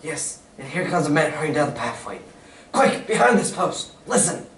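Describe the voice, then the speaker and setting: A man playing a brisk, commanding gentleman detective eager on the chase in a staged radio drama. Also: on the high side, sing-song. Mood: urgent, calculating. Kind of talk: old-time radio drama reading on stage. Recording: noisy echoey stage, far from mic.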